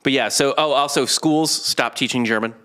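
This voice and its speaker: Bright-voiced man explaining history casually. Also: medium pitch, normal speed, clean tone. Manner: explanatory, joking, informal with swearing. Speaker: podcast host. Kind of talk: casual comedic history chat.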